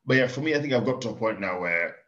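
A man in his thirties with a lowish voice and an English accent, raised first in Kenya, with Swahili as his first language. He tells candid stories in an animated, conversational way. The recording sounds dull and lo-fi. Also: quick pace. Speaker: farmer guest. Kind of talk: casual interview chat.

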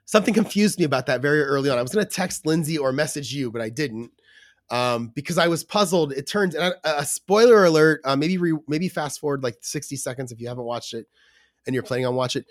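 A man's voice: on the high side, animated, fast, no room sound, studio quality. A man co-hosting a podcast in casual chat, his voice scratchy from a cold, rambling with fillers and restarts. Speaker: podcast co-host.

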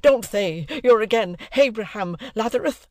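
A frantic, high-pitched lisping supplicant. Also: male voice, lively intonation, normal speed, no echo, studio quality. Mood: panicked. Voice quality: lisp. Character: excitable, pleading male supplicant, frantic and wheedling.

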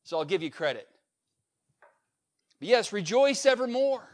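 A male pastor preaching a sermon, building up to a repeated refrain and swinging between loud peaks and quiet, low asides. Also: big pitch swings, a little slow. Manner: emphatic preacher with swings in pitch and loudness, repetitive refrain.

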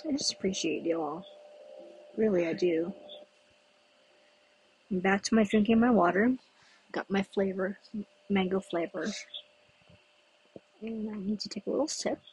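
A solo podcaster talks outdoors, where the breeze is noticeable and people pass by. The voice is very husky and breathy, sitting at a middle pitch. The talk wanders loosely from one thought to the next, with pauses to think. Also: a little mellow, low-quality recording. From United States. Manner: chatty, meandering, thinks aloud with pauses. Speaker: podcast host. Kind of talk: rambling solo podcast chat. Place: outdoors in a breezy spot with passers-by.

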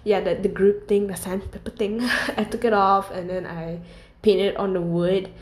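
A young woman who chats in a loose, rambling way. She swears without a second thought, laughs through her sentences and keeps drifting into side stories, and her voice sits at a middle pitch. It rings a little in a roomy space. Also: steady tone, normal speed. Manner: swears freely, laughs often, rambling asides. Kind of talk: casual storytelling monologue. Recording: roomy echo.

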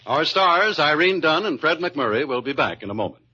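High-pitched 1950s male announcer. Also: big pitch swings, normal speed. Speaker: radio announcer. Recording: dull old radio recording with music.

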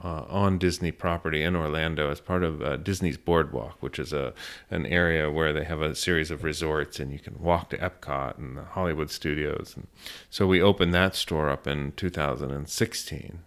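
A middle-aged American man with a very low, clean, resonant voice. He talks as a business owner being interviewed, telling stories conversationally and pausing to restart his phrases. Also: steady tone, fairly bright tone. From South Florida, US.

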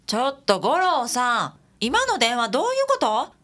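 A woman with a Kansai accent playing a pert, nosy young detective's assistant in a radio drama, casual and excitable. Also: on the high side, normal speed. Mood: sulky.

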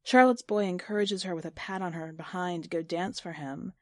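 Female narrator for literary fiction, slightly low voice, first-person reading, dry close recording. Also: a little fast, darkish tone, no echo. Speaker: story narrator.

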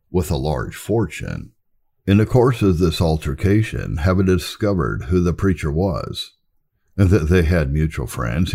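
Very deep, gravelly man reading history aloud. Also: dark tone. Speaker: narrator reading church history. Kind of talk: scripted audiobook narration.

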